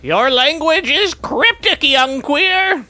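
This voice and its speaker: A woman voicing a bewildered, sensible friend who asks deadpan questions and sometimes squeals in surprise. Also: sits high, slow pace, heavily acted. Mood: exasperated.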